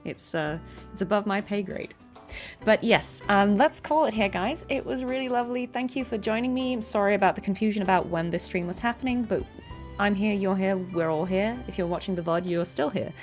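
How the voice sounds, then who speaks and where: A woman's voice: mid-range voice, a little fast, faint music behind. A woman chatting casually and affectionately to viewers on a livestream from home, giggly and chatty. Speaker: streamer and voice actress.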